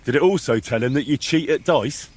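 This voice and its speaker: A man's voice: medium pitch, fairly quick, natural voice. A man playing a bold, blunt young hero in a medieval video game, direct and wryly challenging. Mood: wry, taunting. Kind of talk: video game dialogue acting.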